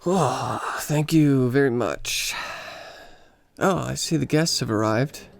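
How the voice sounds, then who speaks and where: A man's voice: mid-range voice, takes it slowly, gravelly, no echo, studio quality. An actor playing a lovelorn, self-mocking American man on an island vacation in a radio play, with an American accent. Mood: tipsy, friendly.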